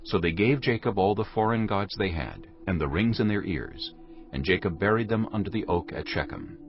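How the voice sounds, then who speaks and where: Low-voiced, expressive male scripture narrator. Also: normal speed. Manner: solemn, storytelling. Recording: muffled audio under music. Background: music.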